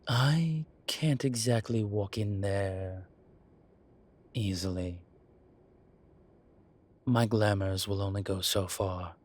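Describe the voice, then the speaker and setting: Casting: male actor as sardonic incubus demon professor for audio roleplay, very low and slow, guarded but playful. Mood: guarded, reluctant. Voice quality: low, husky voice. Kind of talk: roleplay audio drama, speaking to an unseen listener.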